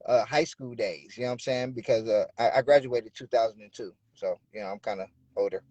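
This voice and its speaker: A man's voice: medium pitch, a little fast, a little mellow, no echo, lo-fi sound. A man in his thirties from the Bay Area, a rapper guest talking casually and often saying "you know what I'm saying". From Bay Area, California. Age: 30s. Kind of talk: casual interview talk.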